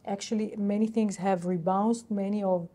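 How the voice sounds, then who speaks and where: A woman speaks as a company executive on an investor call. Her voice is mid-pitched and soft-edged, with a mellow tone. She explains in a businesslike but halting way, feeling her way through each answer. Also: steady tone. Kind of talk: unscripted earnings call answers.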